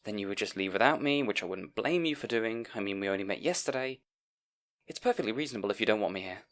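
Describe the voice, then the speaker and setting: A man's voice: on the low side, expressive, fast, fairly bright tone. A man playing a timid, bookish young scribe in a fantasy audio roleplay, hesitant and apologetic, rambling and stammering. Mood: anxious, rambling. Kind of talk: audio roleplay acting addressed to the listener.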